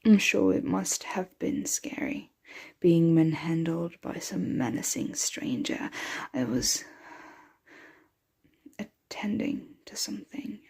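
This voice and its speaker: A woman voicing a possessive, protective, motherly vampire in an intimate ASMR roleplay, breathy and often dropping into a whisper. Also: on the low side, expressive, a little mellow, exaggerated voice. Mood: soothing, protective. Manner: slow, intimate, soothing address to a dear one.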